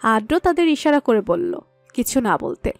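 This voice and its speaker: A woman's voice: fairly high pitch, very animated, moderate pace, gravelly, no room sound, faint music behind. A woman reading a scripted story as its narrator.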